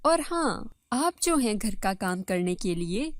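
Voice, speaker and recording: A woman's voice: mid-range voice, sing-song, takes it slowly, dry recording, studio quality. A woman voicing a vain, haughty young second wife, proud of her looks, in a dramatized story. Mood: smug.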